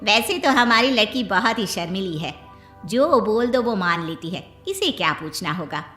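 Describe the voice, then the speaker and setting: A woman's voice: mid-range voice, very animated, moderate pace, bright, forceful tone, echoey room, faint music behind, pitched below the performer's own voice. A woman voicing a talkative, boastful mother in a cartoon story, eager to marry off her daughter.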